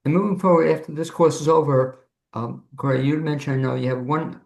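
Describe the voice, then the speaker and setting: Creaky-voiced male podcast host, roomy sound. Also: fairly high pitch, fairly even intonation, fairly quick, darkish tone. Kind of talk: interview questions and sign-off.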